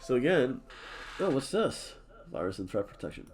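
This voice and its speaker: A man's voice: medium pitch, sing-song, normal speed, a little mellow, slight background noise. Sniffly nasal man rambling casually. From New Jersey. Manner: rambling, joking, drinking while talking. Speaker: podcast co-host. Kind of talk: casual chat.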